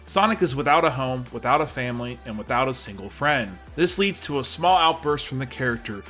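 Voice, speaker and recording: A man delivers a scripted film review as host of the video. His voice sits at an average pitch, with faint music playing behind it. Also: a bit bright.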